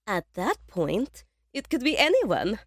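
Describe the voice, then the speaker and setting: Woman acting animated, condescending young detective. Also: on the high side, a little slow, no echo, studio quality, full character voice. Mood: superior. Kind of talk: audio drama acting.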